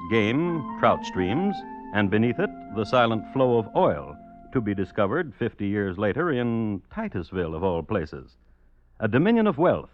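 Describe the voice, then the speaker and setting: A man narrating a scripted radio drama in a wry, deliberate storytelling manner. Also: lowish voice, no room sound. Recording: dull lo-fi radio recording. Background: music.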